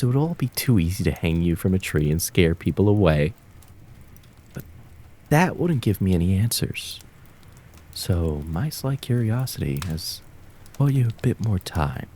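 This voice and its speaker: Slow, very deep, menacing fox spirit, acted by a man. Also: mellow. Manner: slow, menacing, mocking, with drawn-out words. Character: cruel, taunting kitsune fox spirit of the woods. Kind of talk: ASMR roleplay acting.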